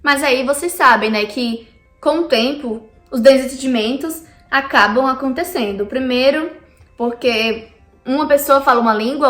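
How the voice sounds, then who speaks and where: A woman's voice: high-pitched, fairly bright tone, roomy sound. A Brazilian woman with a Brazilian Portuguese accent, explaining history to her viewers in a chatty vlog. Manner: chatty, addresses viewers directly. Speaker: vlogger. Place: lives in Canada.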